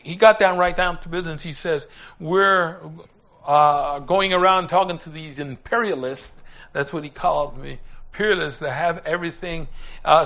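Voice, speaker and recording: An older man from Puerto Rico preaching through personal testimony in an earnest, halting way, with pauses and repeated phrases. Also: fairly high pitch, sharp tone, dull audio. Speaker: preacher and former missionary.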